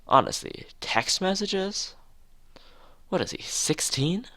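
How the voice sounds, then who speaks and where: A man's voice: high-pitched, very animated, takes it slowly, bright, forceful tone, dry recording, voice pushed up, full character voice. A man voicing a proud, chatty mother of a young runner in a visual novel read-aloud. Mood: exasperated. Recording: music behind the voice.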